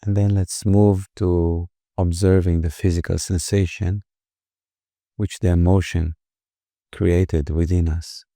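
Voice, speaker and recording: A man with a very deep voice guides a meditation gently and slowly. His tone stays very even, with little rise or fall, and he leaves soothing pauses between phrases. The sound is dry and close. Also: no room sound.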